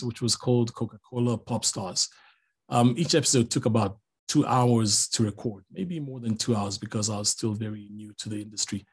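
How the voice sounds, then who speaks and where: Middle-aged male voice-over pro, mid-pitched, a little husky, relaxed and chatty, online class over a video call. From Zambia. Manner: relaxed, chatty, jokes aside to the audience. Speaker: voice-over artist teaching a master class. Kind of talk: online master class talk.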